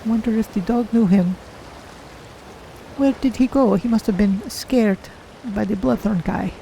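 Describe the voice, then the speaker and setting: A woman's voice: mid-range voice, measured pace, creaky, mellow, a little hiss or hum, low-quality recording. A woman voicing a curious, naive cat-folk adventurer, musing aloud in careful, formal phrases as she plays a game. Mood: puzzled. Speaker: streamer voicing own game character. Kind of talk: in-character game playthrough narration.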